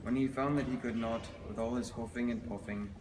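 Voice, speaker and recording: A man's voice: mid-range voice, steady tone, a little fast. A man telling a children's fairy tale as a dramatic storybook reading. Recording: noisy, reverberant hall recording.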